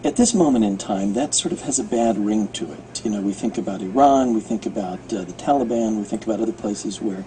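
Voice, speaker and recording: An American man explaining informally as an interviewed radio producer, halting as he thinks aloud. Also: fairly low pitch, expressive, dark tone, slight background noise, low-quality recording. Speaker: radio producer and guest commentator. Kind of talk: unscripted explanatory interview talk.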